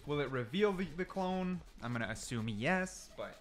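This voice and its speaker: A man's voice: fairly high pitch, expressive, normal speed, over music. A man giving casual gaming commentary, thinking aloud and repeating himself for emphasis. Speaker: gaming content creator. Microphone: desk mic, sometimes turns away from it.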